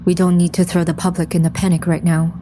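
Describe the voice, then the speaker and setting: A woman's voice: on the low side, little pitch movement, fast talker, background noise, lightly acted. A woman playing a composed, serious undead mission leader who narrates her own story in an audio drama. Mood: grave, composed. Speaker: lead character and first-person narrator. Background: music.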